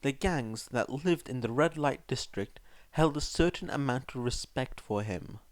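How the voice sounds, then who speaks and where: A man's voice: mid-range voice, expressive, measured pace, dry recording. A man reading scripted fiction aloud as an audiobook narrator, on a close microphone.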